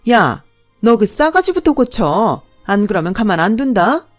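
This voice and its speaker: A woman voicing a bullying older mother-in-law who scolds and insults in a high, loud voice. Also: big pitch swings, measured pace, soft background music. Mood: scornful shouting. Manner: shrill scolding, jabbing insults.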